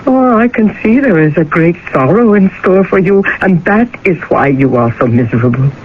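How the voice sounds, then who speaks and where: A woman's voice: on the low side, lively intonation, moderate pace, natural voice. An actress playing an anxious, sorrowful middle-aged mother in a radio drama, fretful and plaintive toward her grown son. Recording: muffled vintage radio recording, faint noise.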